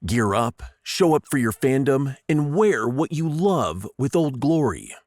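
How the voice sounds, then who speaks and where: A man's voice: medium pitch, big pitch swings, unhurried, a little mellow, no echo, studio quality. A man voicing an emphatic, sarcastic, commanding starship captain in a comic audiodrama. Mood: upbeat pitch.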